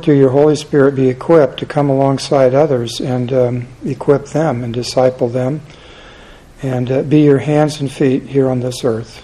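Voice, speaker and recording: A soft-spoken man teaching a church Bible class and leading a prayer, halting with frequent fillers. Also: mid-range voice, low-quality recording.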